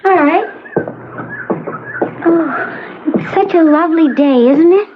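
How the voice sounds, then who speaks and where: A woman's voice: very high-pitched, slow. An actress playing a dreamy, trusting, childlike young woman under hypnosis in an old-time radio drama, vague and compliant. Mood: dreamy, docile. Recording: narrow lo-fi vintage radio with noise.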